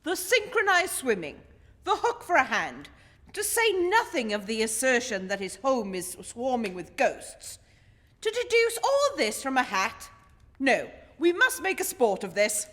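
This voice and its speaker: A woman acts an earnest detective's companion in a radio play. The voice is high, articulate and lively in its swoops, with hall-like reverb around it. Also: measured pace, full character voice. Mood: eager, sceptical. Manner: expressive, articulate storytelling. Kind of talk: radio play acting and scripted narration.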